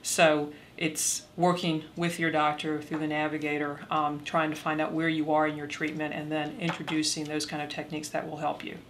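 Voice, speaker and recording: A woman's voice: sits low, completely flat delivery, moderate pace, few pauses, a little hiss or hum. A woman playing an earnest yoga therapist explaining her practice in an amateur role play. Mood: earnest, explanatory. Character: earnest female yoga therapist for cancer patients.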